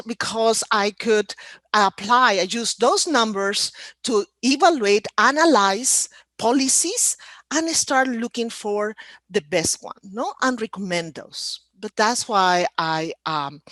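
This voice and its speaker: A woman's voice: mid-range voice, a bit bright, dry recording. A middle-aged woman from Peru with a Peruvian Spanish accent, speaking as an economist on a video panel, rambling with frequent fillers and restarts. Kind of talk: virtual panel talk. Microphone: video call.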